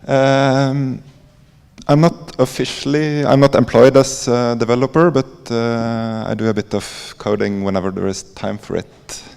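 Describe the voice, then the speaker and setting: A man with a Norwegian accent giving an informal conference presentation in a halting, hesitant way, with frequent pauses. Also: medium pitch, steady tone, gravelly, darkish tone, clean tone. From Norway. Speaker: conference presenter, library coder. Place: conference hall. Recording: echoey hall with long reverb.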